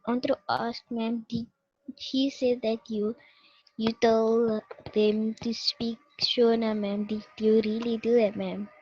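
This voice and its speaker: A woman's voice: on the high side, little pitch movement, takes it slowly, darkish tone, dull audio. A young woman student answering her teacher politely, saying "ma'am" often. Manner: polite, says ma'am a lot.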